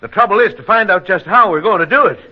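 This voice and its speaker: An earnest small-town leader with a high male voice, reasoning things out in an orderly, deliberate way. It is old radio drama, dull and muffled in sound. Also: lively intonation. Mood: earnest, resolute. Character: earnest, steady small-town leader chairing an emergency meeting. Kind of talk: 1940s radio drama acting.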